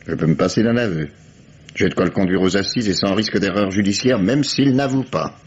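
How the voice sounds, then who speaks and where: A man playing a deep-voiced calm police commissioner. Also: slight background noise, lightly acted. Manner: measured, dry ironic authority. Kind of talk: 1950s French radio drama acting. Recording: dull old lo-fi radio recording.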